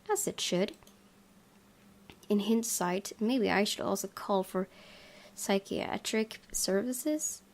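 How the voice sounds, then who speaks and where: A woman reading a visual novel aloud as scripted first-person narration for a gaming video. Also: mid-range voice. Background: music.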